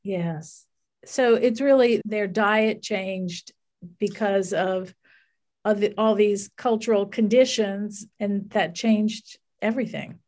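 A woman's voice: medium pitch, slow pace, a little mellow. A woman hosting a podcast, asking a guest friendly, curious questions. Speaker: podcast host. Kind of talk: podcast interview.

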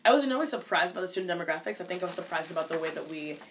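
A woman's voice: fairly low pitch, expressive, slight background noise. A woman in her twenties from Minnesota, a college student answering interview questions casually, often restarting her sentences. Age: 20s. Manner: fast, with many restarts and filler likes.

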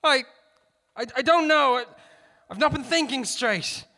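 A man playing a desperate, unhinged middle-aged school janitor holding hostages in a comic story, yelling in a strained voice. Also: very high-pitched, measured pace, in a large hall, pitched above the performer's own voice, full character voice.

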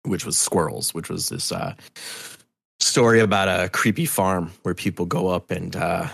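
A man's voice: sits low, moderate pace, vocal fry, fairly bright tone, husky, no room sound, studio quality. A middle-aged man talking casually and candidly as a podcast guest, earnest and rambling, with restarts and loose swearing. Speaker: podcast guest, audio drama creator.

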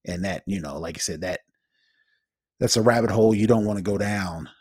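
Casting: male podcast co-host, very low husky voice, conversational, feeling his way through each point. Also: fairly quick, darkish tone, no room sound, clean studio sound. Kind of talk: conversational commentary.

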